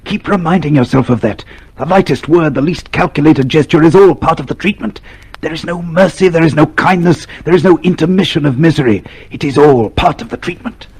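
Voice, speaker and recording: An actor playing a grave, pitiless narrator of a dystopia, measured and emphatic, in a radio drama. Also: male voice, on the high side, slightly rough. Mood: cold, ominous.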